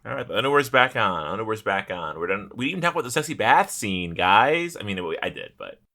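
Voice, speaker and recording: A man chats casually as a gaming podcast co-host. He is wry and jokey, with a lively, swinging intonation and a bright, punchy tone in a lowish register. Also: fast talker, creaky. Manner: sardonic, joking.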